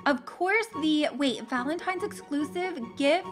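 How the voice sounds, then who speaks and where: A young woman chatters bubbly and gushing over a game, her high voice a little worn because she is losing it. Gasps and giggles slip between her lines. Upbeat background music plays clearly beneath her. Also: measured pace. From Canada. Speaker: gaming channel host. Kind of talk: casual game commentary.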